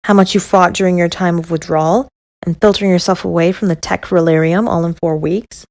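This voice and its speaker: A woman playing a formal, skeptical council member in a fantasy audio drama, measured and questioning. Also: lowish voice, fairly even intonation, darkish tone, lightly acted.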